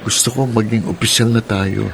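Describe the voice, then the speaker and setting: A man's voice: lowish voice, fairly even intonation, normal speed, vocal fry, slight background noise. An actor playing an earnest young male suitor in a radio drama, sincere and pleading, with a Filipino accent. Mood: lovestruck, earnest.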